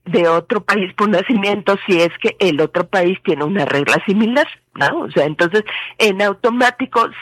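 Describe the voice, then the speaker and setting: A woman's voice: mid-range voice, dry recording, rough recording. Methodical Mexican woman explaining law. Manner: measured, methodical, pauses with frequent fillers. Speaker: legal expert guest. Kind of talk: explanatory commentary.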